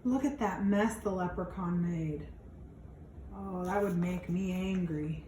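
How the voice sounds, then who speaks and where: A woman teacher reading a children's storybook aloud, pausing to explain things simply to young listeners. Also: on the low side. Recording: roomy, distant mic, faint background noise.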